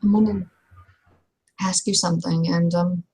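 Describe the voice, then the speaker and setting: Woman playing a husky, low-voiced, blunt werewolf. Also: fairly even intonation, moderate pace, darkish tone. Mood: blunt, skeptical. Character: blunt, skeptical female werewolf questioning a survivor. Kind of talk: in-character tabletop roleplay dialogue.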